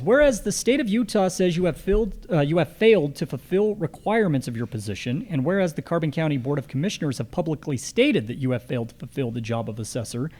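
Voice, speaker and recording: Higher-voiced American man moderating a forum. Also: a little mellow. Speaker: forum moderator, radio host. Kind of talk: hosting a live candidate forum.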